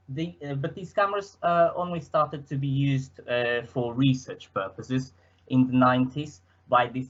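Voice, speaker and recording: A man's voice: mid-range voice, highs cut off. A young man from Portugal with a Portuguese accent, presenting slides in a hesitant way, full of fillers and restarts, speaking into a headset. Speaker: student presenter.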